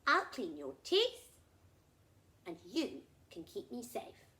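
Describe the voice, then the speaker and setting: A woman playing a small, helpful bird in a children's story, brisk and frank, with a cautious streak. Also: medium pitch, wildly swooping pitch, sharp tone, full character voice.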